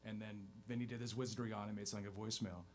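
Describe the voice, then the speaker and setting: A man's voice: lowish voice, little pitch movement, racing, slight background noise. A man in casual live radio chat, enthusiastic and rambling, with many restarts. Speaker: band member.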